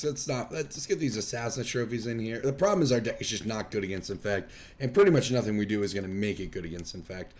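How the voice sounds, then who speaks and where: A young man talks through a card game match as host, his voice worn thin and going hoarse from illness. He sits at an ordinary middle pitch and keeps a loose, chatty, self-deprecating manner throughout. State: losing his voice. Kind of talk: casual gameplay commentary.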